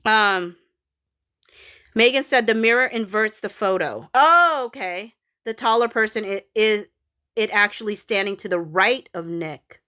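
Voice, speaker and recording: A woman with a Filipino accent chats casually on a livestream, reading viewer comments aloud. She rambles, restarts her sentences and fills pauses with "like" and "um." Her voice sits at a middling pitch. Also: pushed and bright, smooth. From Philippines. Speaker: livestream host reading chat.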